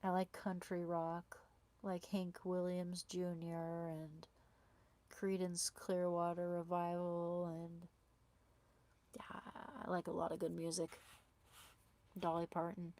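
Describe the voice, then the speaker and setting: A woman's voice: lowish voice, monotone, takes it slowly, long pauses, a little mellow. A woman hosting a casual live stream, chatting with viewers and answering their comments through a small clip-on mic. She has a sore throat and her voice is starting to fail, and she often restarts and repeats words mid-sentence. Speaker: livestreamer. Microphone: small clip-on wireless mic.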